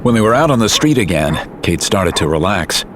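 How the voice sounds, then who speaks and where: A man giving steady, measured scripted narration for an audio drama. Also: on the low side, noisy background. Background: music.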